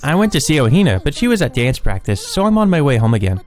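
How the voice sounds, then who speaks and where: A man's voice: mid-range voice, sing-song, quick pace, darkish tone, pitched above the performer's own voice, lightly acted. Guy doing a chatty teasing young woman for a visual novel, swoopy lightish voice, music behind.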